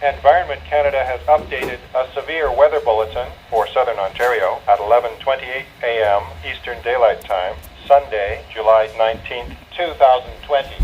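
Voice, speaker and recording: A man's synthetic announcer voice giving a formal, stilted reading of a scripted weather bulletin over weather radio. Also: medium pitch. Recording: dull, noisy weather radio reception.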